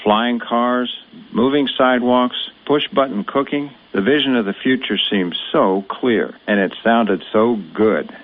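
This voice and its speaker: A man narrating a scripted radio ad, smooth with a nostalgic storytelling tone. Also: mid-range voice, a little slow. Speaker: radio ad voice-over. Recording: phone-like radio ad.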